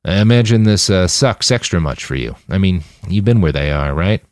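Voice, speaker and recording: A man's voice: low-pitched, lively intonation, moderate pace, no room sound, clean studio sound. A man voicing a detached, introspective male war veteran in an audiobook, candid and searching as he talks. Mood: hesitant.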